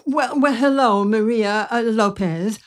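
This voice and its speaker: Woman doing syrupy southern belle society lady, slow drawl, highish voice, super polite, comedy skit. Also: lightly acted. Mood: sweetly genteel. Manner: polite, drawn-out, sugary. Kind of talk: comic audio drama acting.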